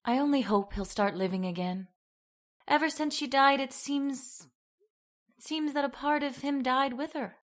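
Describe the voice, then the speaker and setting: Woman acting a high-voiced, plaintive young heroine. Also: moderate pace, lightly acted. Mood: sorrowful, subdued. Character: frightened, grieving young woman in a horror tale. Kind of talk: old-time radio melodrama acting.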